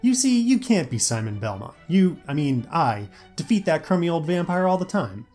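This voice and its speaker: A man's voice: a little high, sing-song, moderate pace, a little mellow, pitched above the performer's own voice. A man voicing a nervous, skeptical, wisecracking teenage schoolboy in an audio story with music behind. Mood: doubtful. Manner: flustered, quipping.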